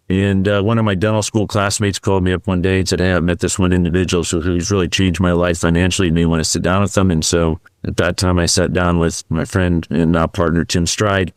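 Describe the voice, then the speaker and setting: Middle-aged male podcast guest, deep and clear, dry close sound, reflective and conversational. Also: dry recording. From United States. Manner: warm, reflective, with frequent fillers and restarts. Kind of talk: casual interview storytelling.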